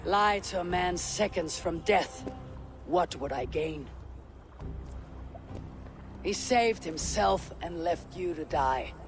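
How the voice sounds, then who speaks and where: An actor playing a calm, firm male Viking warrior leader in a video game. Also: sits high, slow, pauses often, over music, exaggerated voice. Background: game score.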